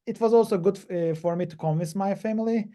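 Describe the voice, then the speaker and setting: A man from Turkey giving a webinar presentation on a video call, talking haltingly with many fillers and restarts. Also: high-pitched, warm tone. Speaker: PhD panelist.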